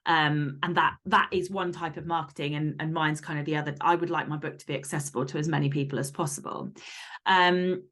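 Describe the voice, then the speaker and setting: A woman's voice: deep voice, fairly even intonation, fairly quick, fairly bright tone. A woman speaking as an author guest in a casual interview, explaining things in a candid, informal and detailed way. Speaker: podcast guest, fiction author.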